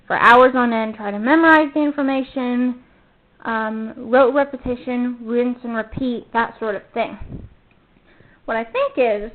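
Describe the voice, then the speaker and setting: A young woman in college hosting a casual solo podcast, chatty and rambling, often correcting herself mid-sentence. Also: on the high side. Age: about 20. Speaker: podcast host. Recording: phone-like narrow sound.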